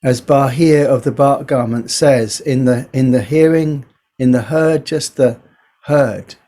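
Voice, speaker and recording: Man, meditation teacher, guided mindfulness, slow pace, medium pitch, gentle, pausing often. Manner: gentle, unhurried, pausing between short phrases. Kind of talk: guided body scan meditation.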